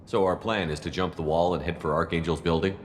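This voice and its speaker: A man's voice: low voice, steady tone, fast talker, big-room sound, a little hiss or hum, close to an everyday voice. An actor playing a tough, businesslike male soldier and squad commander in a video game, speaking directly.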